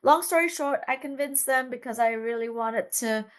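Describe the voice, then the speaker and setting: A middle-aged woman from Singapore, a dance artist talking as an interview guest in a relaxed, chatty way, laughing often and restarting her sentences. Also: fairly high pitch, normal speed. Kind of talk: casual interview chat.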